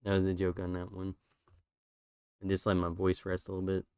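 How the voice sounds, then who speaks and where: A man's voice: deep voice, a little fast, clear, resonant tone, dry recording, studio quality. A man streaming, chatting casually and rambling through his drawings while losing his voice. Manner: relaxed, rambling with fillers.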